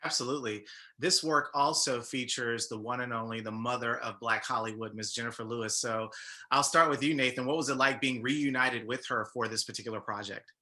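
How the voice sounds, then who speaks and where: A man's voice: mid-range voice, brisk, pushed and bright. An American man hosting an interview in a conversational way, introducing points and putting questions to guests. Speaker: interview host.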